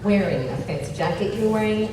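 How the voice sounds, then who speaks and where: A woman from Tanzania shares her personal story at a fundraising brunch, animated and joking at times, earnest and reflective at others. She sounds distant from the mic, with heavy room echo. Constant ambient noise hums underneath. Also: mid-range voice, brisk. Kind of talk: personal story told onstage. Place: event hall at a brunch. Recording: echoey hall, far mic, ambient noise.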